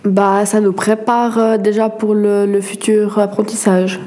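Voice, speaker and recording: A woman's voice: medium pitch, level tone, unhurried, mellow. A woman being interviewed as a student, answering in short, hesitant replies. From Switzerland. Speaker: interviewee, student in a work placement.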